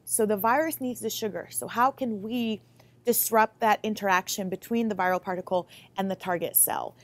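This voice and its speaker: Articulate young American woman, mid-pitched. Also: moderate pace. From Lithuania, moved to the US Midwest at about eight. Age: late 20s. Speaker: MD-PhD student researcher. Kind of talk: interview answers to camera. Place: laboratory, indoors. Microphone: lapel mic.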